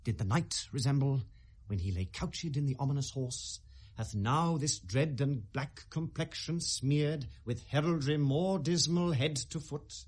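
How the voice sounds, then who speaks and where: A British actor playing a brooding, sardonic young prince feigning madness, theatrical and mercurial in a 1970s classical radio drama. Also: male voice, mid-range voice, measured pace, darkish tone, lightly acted. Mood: reflective, declaiming. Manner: theatrical, mercurial, precisely declaimed.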